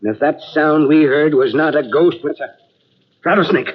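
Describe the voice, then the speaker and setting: A stubborn, defiant old man who refuses to be coddled. His voice sits a bit high for a man, and it comes through a dull, lo-fi old radio recording. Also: moderate pace, husky. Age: older. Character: stubborn older uncle, bedridden rancher. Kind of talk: 1940s radio acting.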